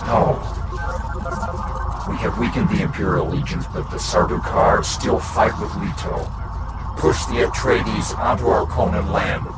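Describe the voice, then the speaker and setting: A man plays a deep-voiced, unsettling envoy from a secretive trading house in a strategy game. The delivery is formal, detached and halting, clipped into short statements. The voice sounds set back in an echoing space, with loud music around it. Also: close to an everyday voice. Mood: cold, detached. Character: cold, eerie mentat-like envoy of a secretive merchant house. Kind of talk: scripted video game cutscene acting, mission briefing. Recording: distant, echoing voice under loud music and noise.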